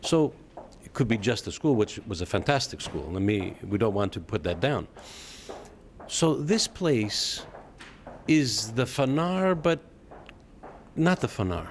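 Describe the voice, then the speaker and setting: A man hosting a conversational interview, speaking deliberately and emphatically in short phrases, often repeating himself. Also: lowish voice, big pitch swings, slight background noise. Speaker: interviewer, TV host.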